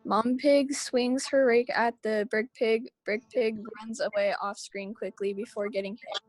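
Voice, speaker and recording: A woman's voice: on the high side, fairly even intonation, measured pace, rough recording. A woman student reading scripted action descriptions aloud at an online table read.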